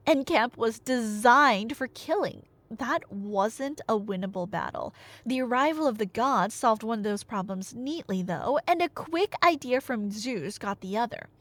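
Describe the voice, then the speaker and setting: A woman's voice: a little high, dry recording. A woman telling a myth in a casual, chatty but dramatic way, with wry asides, like an ASMR roleplay storyteller. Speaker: storyteller playing a chatty girlfriend.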